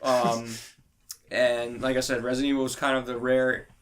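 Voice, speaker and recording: A man's voice: mid-range voice, flat delivery, normal speed, a crowd in the background. Male podcast host in casual discussion. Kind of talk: casual group podcast chat.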